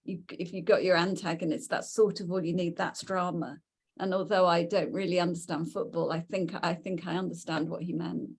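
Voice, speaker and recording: A woman's voice: fairly low pitch, level tone, a little fast, creaky, a little mellow, slightly rough. A middle-aged woman telling anecdotes as a panel guest in a conversational, self-deprecating way. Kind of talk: anecdotal recollection.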